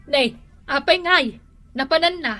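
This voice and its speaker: A woman's voice: high-pitched, measured pace, pushed and bright, soft background music. A nosy neighbor lady.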